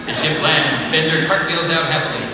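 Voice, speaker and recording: A man's voice: on the high side, a little fast. A man reading scripted stage directions as narrator of a live comedy table read. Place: large convention ballroom with audience. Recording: echoey noisy hall, distant mic.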